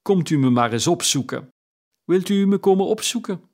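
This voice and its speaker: A man voicing a reformed old miser, loud, elated and emphatic. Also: mid-range voice, unhurried, natural voice.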